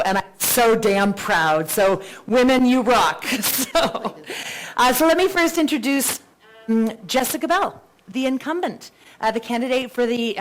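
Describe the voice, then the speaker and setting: A woman's voice: mid-range voice, poor audio. A Canadian woman moderating a live candidates' debate, chatty, with playful self-deprecating asides and a big voice. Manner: says she has a really big voice.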